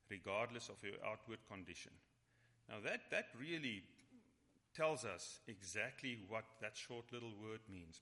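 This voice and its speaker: A man's voice: mid-range voice, pushed and bright. Man, first language Afrikaans, teaching in a hall. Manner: halting, with restarts. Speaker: Bible study leader. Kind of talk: church Bible teaching with prayer. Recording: long hall reverb.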